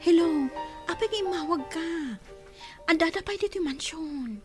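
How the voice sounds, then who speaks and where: An actress playing a nervous, deferential housemaid in an Ilocano radio drama, answering hesitantly. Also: female voice, very high-pitched, normal speed, music in the background. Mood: guarded. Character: nervous, deferential female household maid covering for herself.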